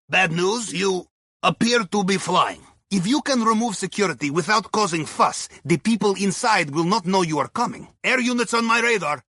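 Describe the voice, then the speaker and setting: A wry, upbeat Russian submarine aide guides players through a video game heist. His voice is bright and husky, recorded very dry and close. He speaks clipped, article-dropping English in a thick Russian accent, with deadpan humor. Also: sits high, no echo, exaggerated voice. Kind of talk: scripted video game mission dialogue.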